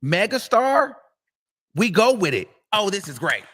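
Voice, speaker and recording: A man's voice: sits high, sing-song, unhurried, bright, forceful tone. A man hosting a live stream, giving emphatic opinions in casual chat. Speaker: host.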